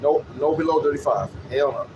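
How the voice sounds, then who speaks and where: A man's voice: on the high side, moderate pace, lo-fi sound. A man in his late thirties talking off the cuff in a casual, repetitive ramble, often checking in with "you know" tags. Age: late 30s. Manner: repetitive, peppered with "you know what I'm saying". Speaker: vlogger. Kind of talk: casual rambling monologue with anecdotes. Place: on a city bus. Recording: clear vehicle and air noise.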